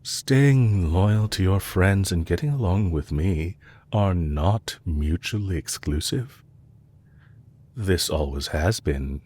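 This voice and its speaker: Villain leader for intimate audio roleplay, male actor: low, husky register, courteous and measured, calculating under a gently teasing manner. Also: heavily acted. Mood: smooth, assured. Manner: polite, measured, softly teasing. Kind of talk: intimate scripted audio roleplay addressed to the listener.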